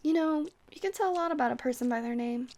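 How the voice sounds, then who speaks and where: A woman's voice: sits high, fast, close to an everyday voice. A woman playing a shy, friendly vampire who rambles hesitantly and flirts sweetly in an ASMR roleplay. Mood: playful, teasing. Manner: hesitant, rambling, soft-hearted, awkwardly stammering.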